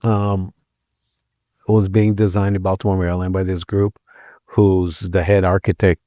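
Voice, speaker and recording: A man in his sixties, raised in Israel and then the American Midwest, answering interview questions in a measured, polite, modest way. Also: low voice, little pitch movement, warm tone, no echo. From Israel, raised in Minneapolis from age twelve. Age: 60s. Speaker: architect guest. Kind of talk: interview conversation.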